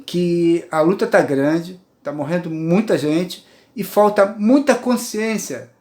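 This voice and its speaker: A man's voice: high voice, takes it slowly, a little mellow, echoey room. A man in his sixties with a Brazilian Portuguese accent, giving a rambling, earnest personal monologue from his apartment and often checking in with his listeners. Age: 60s. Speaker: poet and writer sharing views.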